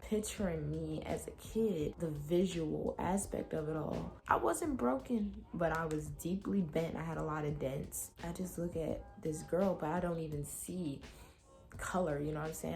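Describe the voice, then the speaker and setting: A young woman vlogging from her room, candid and emotional as she talks straight to viewers, her throat a little raspy after a cold night. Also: sits low, a little mellow. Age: young adult. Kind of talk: personal reflective vlog.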